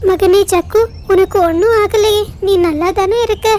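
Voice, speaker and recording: A woman's voice: extremely high pitch, steady tone, moderate pace, darkish tone. A woman voicing a protective, soothing mother bird in a children's cartoon story. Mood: concerned, soothing.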